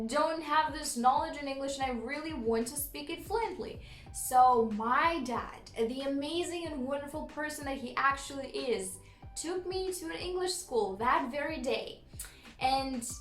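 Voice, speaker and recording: A young woman with a Romanian accent, chatting in a storytime vlog, laughing often and filling pauses with ums. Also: high-pitched, a bit bright, roomy sound. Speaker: YouTuber.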